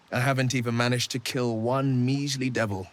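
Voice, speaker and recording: An actor playing a gallant, earnest young warrior hero in a video game, courtly and gently teasing in his speech. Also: mid-range voice, little pitch movement, moderate pace, lightly acted. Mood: playful, teasing. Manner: courtly, eloquent phrasing with soft hesitations. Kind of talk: video game dialogue acting.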